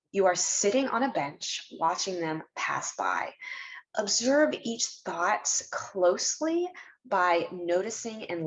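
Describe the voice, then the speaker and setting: A woman narrating a scripted guided meditation in a measured, instructional way, leaving deliberate pauses between phrases. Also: lowish voice, poor audio.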